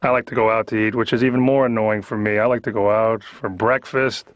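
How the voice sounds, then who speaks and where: A man's voice: lowish voice, steady tone, fairly quick, no room sound. A man doing a conversational observational comedy monologue, complaining in a neurotic, exasperated way. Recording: slightly dull lo-fi cartoon soundtrack.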